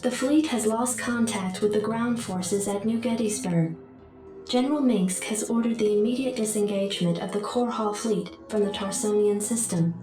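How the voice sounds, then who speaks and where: A woman's voice: medium pitch, moderate pace, close to an everyday voice. Military briefing officer, female actor: composed, dutiful game announcer, distant echoing sound over music. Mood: detached, formal. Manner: formal, detached, crisp reporting.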